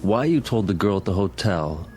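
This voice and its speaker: A man's voice: deep voice, animated, normal speed, a little mellow, a little hiss or hum. A man playing a polite, formal, probing associate of a town mayor in an audio drama.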